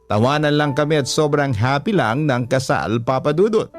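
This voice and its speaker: A man reading a listener's love story aloud in the first person, in a measured storytelling manner. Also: fairly high pitch, lively intonation, soft background music. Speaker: narrator reading a listener's letter. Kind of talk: scripted first-person narration.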